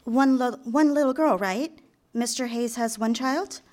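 An actress playing a young 1950s secretary in a radio drama, confiding and lovestruck, live on a theater stage. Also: female voice, fairly high pitch, unhurried, darkish tone, lightly acted. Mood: curious. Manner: confiding, direct address to listener. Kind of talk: radio drama narration and dialogue.

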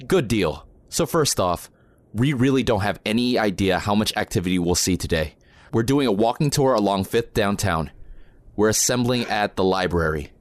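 Low-voiced man acting a seasoned protest organizer. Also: lively intonation, normal speed, no echo, close to an everyday voice. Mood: firm briefing. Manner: instructive, informal, matter-of-fact. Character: experienced male protest organizer, practical, protective, plain-spoken. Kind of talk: naturalistic audio drama acting.

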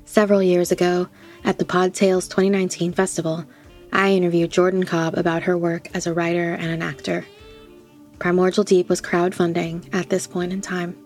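A woman's voice: lowish voice, a little mellow. A woman speaking as a podcast host and interviewer. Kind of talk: scripted podcast introduction, then casual interview chat.

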